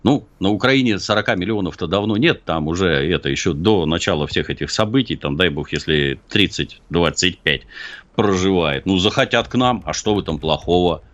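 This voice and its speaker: Very deep-voiced man, ironic and argumentative. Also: lively intonation, fairly quick, fairly bright tone, muffled recording. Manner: ironic, argumentative, acts out quotes. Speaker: guest. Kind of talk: political talk show commentary.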